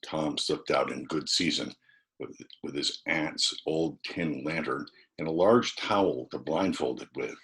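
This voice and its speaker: A man's voice: rumbling low, unhurried, fairly bright tone. A man reading a classic novel aloud as a storybook narrator. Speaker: volunteer storybook reader.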